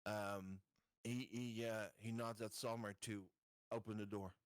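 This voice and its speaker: A man playing a tabletop roleplaying game, chatting casually and asking cautious questions in a halting, word-repeating way. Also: fairly low pitch, little pitch movement, no echo, clean studio sound.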